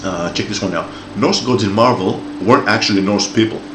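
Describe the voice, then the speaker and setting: A deep, low-pitched man in his forties with a Greek-Australian accent, sounding off in a heated, profane rant. He stumbles and repeats words as he builds his case. His voice rings in an echoey room with music playing behind. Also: brisk. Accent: Greek-Australian English. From Greece, raised in Australia. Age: 40s. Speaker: commentator. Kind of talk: opinion rant.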